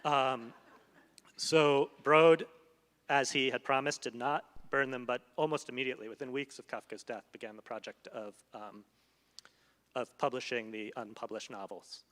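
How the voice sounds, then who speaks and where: A man's voice: mid-range voice, fairly even intonation, normal speed, fairly bright tone. Thoughtful man in a reverberant hall. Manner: thoughtful, hesitant, many fillers. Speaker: literary translator. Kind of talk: onstage conversation. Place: event hall.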